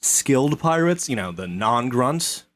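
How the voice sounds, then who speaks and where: A man's voice: mid-range voice, big pitch swings, a little slow, fairly bright tone. A man hosting a casual comedy history podcast, profane and rambling, drinking as he talks. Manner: profane, rambling, slips into goofy voices for historical figures. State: drinking while talking. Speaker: podcast host.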